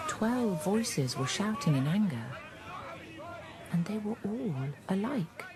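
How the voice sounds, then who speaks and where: A man narrating a scripted radio drama in a measured, literary storytelling manner. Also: high voice, big pitch swings, music in the background, full character voice. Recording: shouting crowd behind.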